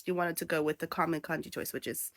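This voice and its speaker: A woman's voice: sits low, little pitch movement, fast talker, creaky, fairly bright tone, no echo. A woman in her thirties, born in Japan and raised in New York, talking candidly to camera in a casual vlog full of fillers and asides. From born in Japan, raised in New York City. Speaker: content creator.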